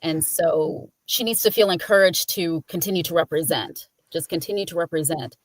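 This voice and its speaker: A woman's voice: lowish voice, normal speed, no echo, rough recording. A woman talking casually as a panelist on a cast talk. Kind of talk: casual chat.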